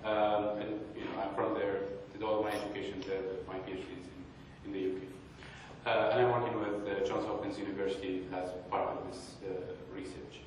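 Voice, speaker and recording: A young man from northern Iraq presents a research talk in a fairly low voice, pausing often and restarting his sentences. He is picked up from a distance in a large hall, with strong echo, a long reverb tail and a faint hum underneath. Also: flat delivery. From Kurdistan, northern Iraq. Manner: hesitant, with many pauses and restarts. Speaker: conference speaker. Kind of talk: academic presentation. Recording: echoey hall, distant mic.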